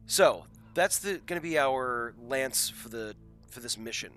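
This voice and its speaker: Congested man streaming, bright forceful voice. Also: mid-range voice, expressive, measured pace, faint music behind. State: congested from a head cold. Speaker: host of a live game stream. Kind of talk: casual live-stream chat with viewers. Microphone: headset.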